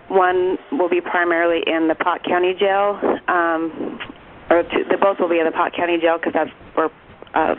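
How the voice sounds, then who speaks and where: A man giving an informal update as a program representative on a conference call, speaking in a hesitant, rambling way over the telephone. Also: fairly high pitch. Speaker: regional mental health program representative. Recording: tinny phone line with faint noise.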